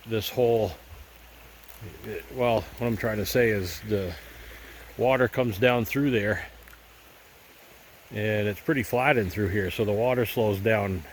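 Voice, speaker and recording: A man's voice: on the low side, a little hiss or hum. Stuffy-voiced man rambling by a stream. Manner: easygoing, rambling, thinking aloud. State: stuffy with a head cold. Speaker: gold prospecting vlogger. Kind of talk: casual outdoor vlog narration. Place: outdoors by a cold stream.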